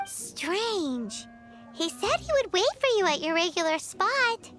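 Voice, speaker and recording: A tiny childlike fairy companion from a video game. The voice is squeaky and extremely high, swooping widely in pitch at an unhurried pace. It is cheeky and exclamatory. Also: female voice, sing-song, heavily acted. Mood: curious. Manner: refers to self in third person, exclamatory. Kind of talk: video game character acting. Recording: loud music behind the voice.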